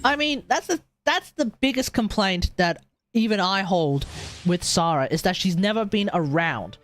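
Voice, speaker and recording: A man's voice: sits high, expressive, normal speed, fairly bright tone. A man doing casual livestream game commentary, rambling and thinking aloud over game music. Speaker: game streamer. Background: video game music.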